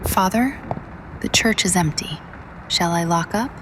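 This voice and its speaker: A woman's voice: on the low side, measured pace, background noise, close to an everyday voice. An actress playing a hesitant, respectful young woman parishioner in an audio drama, speaking politely.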